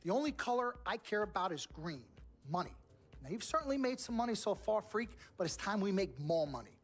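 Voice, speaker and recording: An actor playing a pushy, money-hungry male sports agent, slick and emphatic, with a husky voice, in a video game cutscene. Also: high-pitched, sing-song, brisk.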